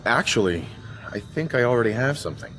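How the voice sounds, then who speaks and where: A man's voice: medium pitch, expressive, fairly quick, a bit bright, faint music behind, close to an everyday voice, rough recording. An actor playing a grim, determined father as a video game protagonist, serious and plain-spoken. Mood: earnest. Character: grim, determined father searching for his son.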